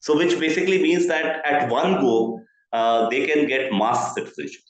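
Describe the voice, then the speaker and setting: A man with an Indian accent explains policy as a journalist, in a deliberate, explanatory manner with rhetorical check-ins. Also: on the high side. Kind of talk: explanatory news analysis. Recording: very echoey, reverberant room.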